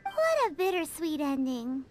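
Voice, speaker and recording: A woman voicing a tiny, chirpy fairy girl companion in an anime game, with a squeaky childlike voice and perky, exaggerated delivery. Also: big pitch swings, measured pace. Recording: game music loud behind voice. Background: loud game music.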